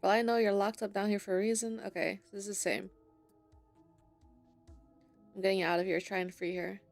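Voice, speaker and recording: A woman's voice: medium pitch, level tone, fairly quick, vocal fry. A woman gamer giving casual let's play commentary and reading dialogue choices aloud. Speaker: gamer playing through a visual novel. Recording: faint game music behind the voice.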